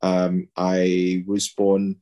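A man's voice: low voice, completely flat delivery, drawn-out words, mellow. A man in his thirties from Malaysia, talking hesitantly with many fillers as an interview guest on a video call from home. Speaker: guest, pediatrician and game player. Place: home room, indoors.

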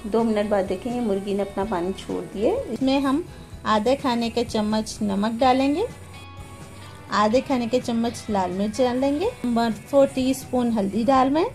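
A woman's voice: on the high side, a little mellow, faint music behind. A woman hosting a home cooking channel, explaining each step of a recipe patiently in a plain, homely way, recorded on a phone in her kitchen. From Pakistan.

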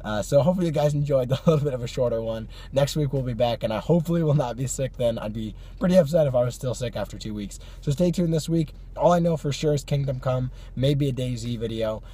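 A hoarse young man with a cold, chatting casually to camera from his parked car at night, with many fillers and small laughs. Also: mid-range voice, quick pace, few pauses, dark tone. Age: young adult. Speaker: vlog host. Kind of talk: casual car vlog chat. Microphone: phone or camera on the dashboard.

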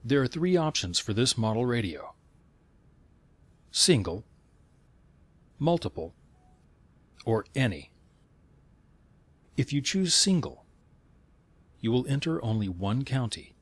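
A man reading scripted instructional narration in a measured, step-by-step way. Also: mid-range voice, sing-song, dry recording.

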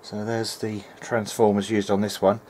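A man's voice: on the low side, level tone, normal speed, a little mellow. A man thinking aloud hesitantly as he hosts a casual hands-on electronics demonstration at a workbench. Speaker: host, hobbyist electronics repairer. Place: indoor workbench. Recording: clear background noise, workshop room.

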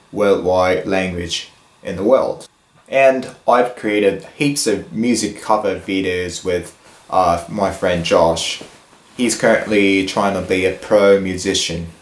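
Slow, hesitant young man vlogging. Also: low voice, reverberant. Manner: slow, hesitant, with many fillers and restarts. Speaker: fitness vlogger. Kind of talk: casual vlog talk to camera. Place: plain indoor room, then a gym hallway.

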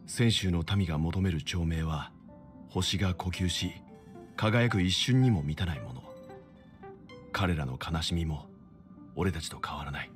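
Deep, composed mentor. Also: male voice. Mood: calm, reflective. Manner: measured, reflective. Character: composed, philosophical middle-aged male mentor, gentle and thoughtful. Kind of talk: anime-style video game acting. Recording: music under the voice.

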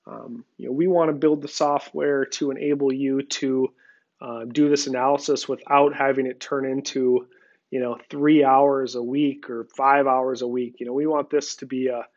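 A man giving a relaxed, conversational screen-recorded walkthrough of farm software, with frequent filler words. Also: medium pitch, moderate pace, dull audio. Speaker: software company representative. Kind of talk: screen-recorded product demo.

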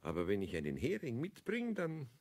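An actor playing a weary, suffering older craftsman in a children's radio play, with a Bavarian accent and a raspy, groaning voice. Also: mid-range voice, huge pitch swings, fairly quick, darkish tone. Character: grumpy, suffering hungover older craftsman, good-natured.

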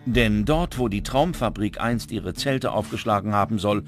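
A man's voice: lowish voice, moderate pace. Male documentary narrator over prominent music. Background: background music.

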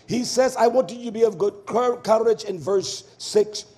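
A male preacher with a strikingly high-pitched voice delivers a fiery scripture sermon. He is stern and combative, exhorting his listeners and hammering key words by repeating them. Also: moderate pace, warm tone. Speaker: preacher and Torah teacher. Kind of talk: fiery sermon with verse-by-verse exposition.

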